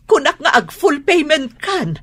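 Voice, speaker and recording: A woman's voice: high voice, big pitch swings, unhurried, fairly bright tone, exaggerated voice. A woman with an Ilocano accent playing a worried, scolding middle-aged mother in a radio drama.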